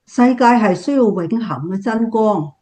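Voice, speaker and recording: A woman playing an affectionate, reflective grandmother in a Cantonese radio drama, speaking gently. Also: mid-range voice, animated, a little slow, lightly acted.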